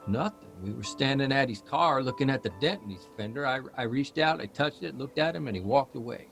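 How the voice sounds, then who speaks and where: An actor playing a restless, self-doubting male writer in a live audio drama, rambling and halting as he questions himself. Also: medium pitch, animated, gravelly, fairly bright tone, faint music behind. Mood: fretful.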